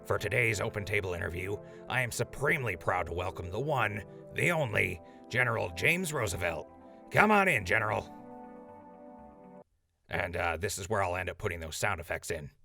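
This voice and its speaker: An actor playing a chatty, upbeat radio host in an audio drama. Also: male voice, lowish voice, animated, moderate pace, creaky, bright, forceful tone, soft background music, full character voice. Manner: chatty, upbeat broadcaster patter. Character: eager male wasteland radio host. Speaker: radio show host in story.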